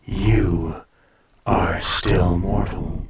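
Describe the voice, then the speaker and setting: Man voicing a very slow, deep, haughty ancient dragon. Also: fairly even intonation, noisy background. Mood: condescending, menacing. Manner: slow, haughty, condescending, drawn-out phrasing. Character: ancient, proud male dragon in humanlike form, condescending, teasing. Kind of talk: audio roleplay acting, intimate monologue addressed to listener.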